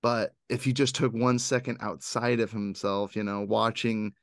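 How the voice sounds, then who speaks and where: A man's voice: on the low side, steady tone, normal speed, no room sound, studio quality. A young man hosting a podcast in a casual, joking, informal conversational style. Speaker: podcast host. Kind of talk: casual chat.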